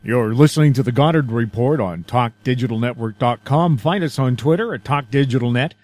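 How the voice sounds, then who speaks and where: A man's voice: mid-range voice, brisk, a little mellow, no echo. A man hosting a radio interview in a polished broadcast style. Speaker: radio show host, interviewer.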